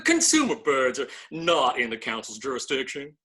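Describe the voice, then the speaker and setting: A man's voice: medium pitch, wildly swooping pitch, normal speed, pushed and bright, husky, full character voice. A man playing a stuffy bird politician, formal and officious, in a comedy table read of an audio drama. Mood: pompous, officious. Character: haughty male bird council elder, stuffy politician.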